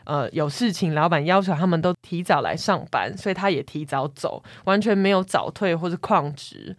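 Taiwanese mandarin woman, podcast co-host telling stories casually, lowish voice, really dry sound. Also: normal speed, no room sound. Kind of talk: casual chat and retelling online workplace stories.

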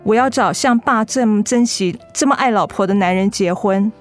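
An actress playing a devoted, tender and anxious young adult daughter in a Mandarin radio drama. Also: female voice, medium pitch, moderate pace, soft background music, natural voice. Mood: playful, cheeky.

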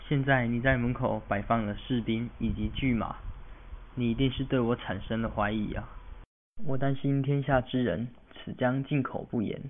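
A man's voice: mid-range voice, steady tone, moderate pace, lightly acted. Proud fox spirit as young scholar male amateur actor, boastful and indignant, radio drama, narrow phone-like sound. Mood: indignant, reproachful.

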